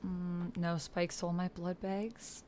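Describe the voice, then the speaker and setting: A woman's voice: lowish voice, level tone, slow, a little mellow, slight background noise. A woman hosting an online roleplaying game playthrough, explaining things casually and informally as she thinks aloud. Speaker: host and player of an online text roleplaying game. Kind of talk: casual explanatory chat while playing, with some reading aloud.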